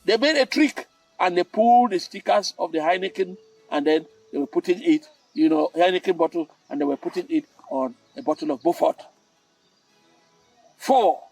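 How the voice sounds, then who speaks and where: An older man with a Cameroonian accent, a storytelling host who delivers tales and moral advice in a theatrical, emphatic way with dramatic pauses and repetition. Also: sits high, creaky, darkish tone. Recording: roomy, distant mic with music.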